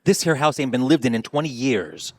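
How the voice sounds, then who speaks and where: A man's voice: mid-range voice, animated, brisk, no echo, close to an everyday voice. A man acting in a radio play as a wary, blunt, working-class taxi driver with folksy speech.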